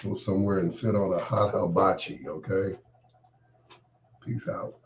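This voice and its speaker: A man with a cold hosts a late-night monologue in a very deep voice. He speaks slowly and sounds fed up, his sentences sinking away into quiet endings. A faint hiss of noise sits under the recording. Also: steady tone. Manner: irritated, dismissive, trailing off quietly. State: has a cold, voice partly lost. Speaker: host. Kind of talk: casual on-air monologue.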